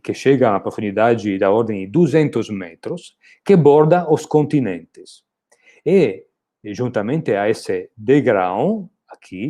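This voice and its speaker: A university lecturer with an Italian accent, a man speaking his second language. His voice is dark and mellow, and his explanations are steady, with small hesitations and restarts as he walks through the slides. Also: medium pitch. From Italy. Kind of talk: recorded online lecture.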